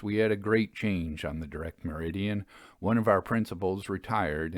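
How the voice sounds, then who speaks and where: Low-voiced man reading an old tale. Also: a little mellow, dry recording, studio quality. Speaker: narrator reading ghost stories aloud. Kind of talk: literary storytelling, audiobook-style reading.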